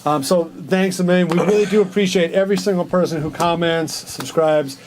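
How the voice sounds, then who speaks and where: Higher-voiced man chatting over background noise. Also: fairly quick, darkish tone. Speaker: co-host. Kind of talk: casual movie chat.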